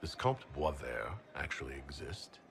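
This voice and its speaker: A commanding leader in a fantasy game. His voice is very deep, his delivery terse and direct. It rings in a long hall reverb over faint ambient noise. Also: big pitch swings, a little slow, gravelly, fairly bright tone, exaggerated voice. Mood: blunt, serious. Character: commanding male fantasy leader, blunt and decisive. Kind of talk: scripted video game cutscene acting.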